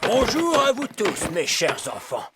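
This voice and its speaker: A man's voice: high voice, wildly swooping pitch, a little slow, very noisy, exaggerated voice. A man voicing a stern, proud old wise elf schoolteacher in a children's cartoon, with a formal, emphatic delivery. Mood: greeting. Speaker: teacher character. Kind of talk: animated children's cartoon dub.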